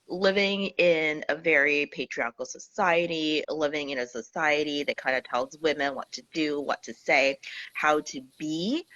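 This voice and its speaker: A woman from Singapore, a guest in an interview on a video call, telling her story in a lively way and acting out her past thoughts. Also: low-pitched, lo-fi sound. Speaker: guest, Akashic Records healer. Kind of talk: casual interview chat.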